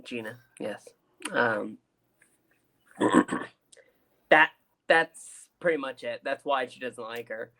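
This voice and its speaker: Male podcast co-host, medium pitch, casual reviewer who thinks aloud haltingly. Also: sharp tone, poor audio.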